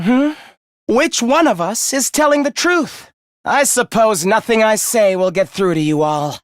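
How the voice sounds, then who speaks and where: A man's voice: extremely high pitch, a bit bright, no echo, studio quality, full character voice. An actor voicing a scornful, zealous young male video game villain who despises humans, with a theatrical, contemptuous delivery. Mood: taunting, contemptuous. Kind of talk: video game character voice acting.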